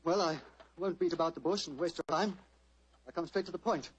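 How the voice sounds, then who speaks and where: An actor playing a tormented stage performer who fears his own madness, husky, halting and melodramatic in old radio style. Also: on the high side. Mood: tense, desperate. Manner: agitated, halting, dramatic. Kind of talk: melodramatic 1940s radio acting.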